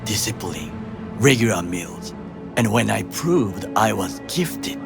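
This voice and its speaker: A man playing a proud, principled ex-soldier bodyguard in a video game, speaking formally and deliberately with a Japanese accent. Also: lowish voice, expressive, vocal fry, full character voice. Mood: wistful, solemn. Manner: formal, measured, deliberate phrasing without slang. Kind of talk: video game cutscene acting. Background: music under the voice.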